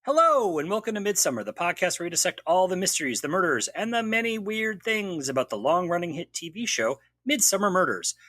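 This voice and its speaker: A man's voice: high voice, sing-song, fairly quick, hardly any pauses, bright, forceful tone, faint music behind. A man hosting a podcast, giving a wry, jokey recap of a TV mystery episode. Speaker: podcast host. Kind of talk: scripted recap narration.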